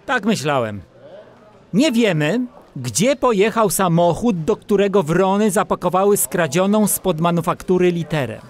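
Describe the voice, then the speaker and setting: High, lively junior policeman. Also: normal speed. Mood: teasing, reporting. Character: animated male junior animal police officer. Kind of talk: children's audio drama acting.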